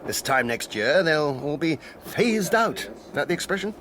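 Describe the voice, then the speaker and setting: A man's voice: on the high side, very animated, normal speed. A man acting a chatty, nostalgic, familiar older man in a radio drama. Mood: nostalgic, sociable. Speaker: actor in radio play. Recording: noisy train ambience behind.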